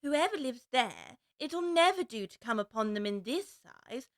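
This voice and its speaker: A woman's voice: on the high side, big pitch swings, unhurried. An actress playing a curious, polite and bewildered little girl in an audiobook, earnest and proper as she wonders aloud. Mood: musing. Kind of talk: full-cast audiobook acting.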